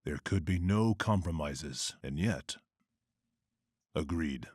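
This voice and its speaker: A man playing a grim, brooding vigilante who narrates and speaks tersely and gravely. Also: rumbling low, animated, slow pace, darkish tone, no echo, clean studio sound, heavily acted. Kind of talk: inner narration and dialogue.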